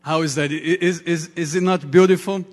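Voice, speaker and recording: A man with a Portuguese accent, a native Portuguese speaker, preaching a sermon earnestly in halting English with repeated words. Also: fairly high pitch, fairly even intonation. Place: large reverberant church room. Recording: reverberant hall with long echo.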